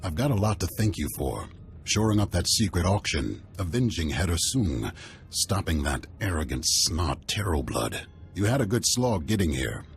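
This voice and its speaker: A man's voice: deep voice, big pitch swings, moderate pace, gravelly, soft background music, exaggerated voice. An actor playing a blunt, hearty male warlord with an authoritative manner in a video game. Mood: blunt, approving. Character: gruff, commanding male warlord who respects fighters, hearty and blunt.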